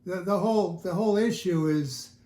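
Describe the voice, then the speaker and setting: Older male interview guest, higher-pitched yet soft-toned, halting and thoughtful, recorded in an echoey home room. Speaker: interview guest, audiophile hobbyist. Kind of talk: casual interview chat. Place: indoors at home listening room.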